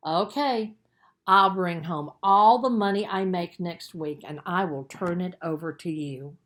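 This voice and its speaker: A woman's voice: lowish voice, a little slow, a bit bright. A woman voicing a firm, patient mother advising her teenage son in a children's story, with emphatic, instructive delivery. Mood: firm, dealmaking.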